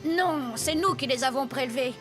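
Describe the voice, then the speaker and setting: Woman as a squeaky, anxious little boy. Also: moderate pace, lightly acted. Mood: eager. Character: small, anxious, eager young boy sidekick. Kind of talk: French animated series dubbing. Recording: reverberant hall sound, music behind.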